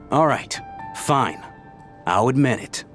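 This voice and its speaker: An actor playing a cagey, lovestruck bodyguard from centuries past in a video game, speaking in a hesitant, confiding, dramatic way. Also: male voice, mid-range voice, expressive, extremely slow, pushed and bright, heavily acted. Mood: bashful, smitten. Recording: game music behind the voice. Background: game music.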